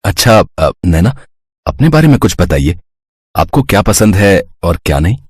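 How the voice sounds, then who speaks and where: An actor playing a mild, admiring man of the family in a story, husky and theatrical. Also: deep voice, very animated, moderate pace, dry recording, studio quality, heavily acted. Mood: approving.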